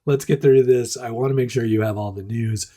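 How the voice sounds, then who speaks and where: Hoarse, stuffed-up man hosting startup news. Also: mid-range voice, fairly even intonation, brisk, mellow. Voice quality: nasal. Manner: chatty, self-deprecating, rambling restarts. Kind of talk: casual news rundown.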